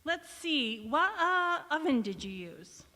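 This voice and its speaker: A woman's voice: high voice, sing-song, slow pace, bright, forceful tone, exaggerated voice. A woman playing an eager, chummy housewife neighbour in a vintage radio comedy on stage, with exclamatory delivery. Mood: concerned, correcting. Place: large indoor hall, live stage performance. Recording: reverberant church hall stage recording.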